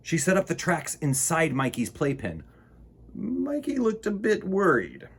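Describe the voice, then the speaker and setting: A man's voice: a little high, wildly swooping pitch, measured pace, vocal fry, slight background noise. A man reading a children's picture book aloud with playful, theatrical emphasis and casual asides. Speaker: children's storytime reader.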